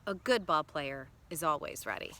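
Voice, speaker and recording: A woman's voice: low voice, lively intonation, moderate pace, pushed and bright. A woman teacher reading a picture book aloud to children expressively, with instructive asides and questions to check in.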